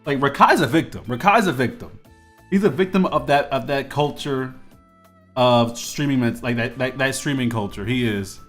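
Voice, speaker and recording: A man's voice: medium pitch, moderate pace, a bit bright, faint music behind. A man in his twenties, a streamer, chatting casually and rambling with lots of fillers and restarts while he draws on a live stream. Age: 20s.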